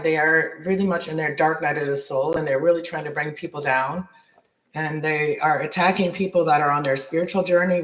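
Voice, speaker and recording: A man with a raspy voice hosting a tarot livestream, rambling with many restarts and fillers. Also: fairly high pitch, a bit bright, roomy sound, rough recording. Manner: meandering, many restarts and fillers, spiritual talk. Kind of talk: rambling live-stream monologue. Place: apartment at home.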